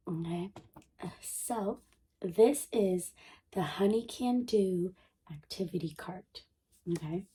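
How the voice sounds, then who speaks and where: Woman, 30s, homeschool vlogger; sick, congested and throaty; mellow tone, eager chatty delivery full of ums. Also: medium pitch. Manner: chatty, enthusiastic, many ums and restarts. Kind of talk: casual show-and-tell vlog.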